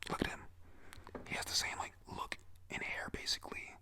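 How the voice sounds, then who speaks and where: A young adult whispering a rambling, excited play-by-play recap at home, full of fillers. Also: fairly quick. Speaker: anime episode recapper. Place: at home with family nearby.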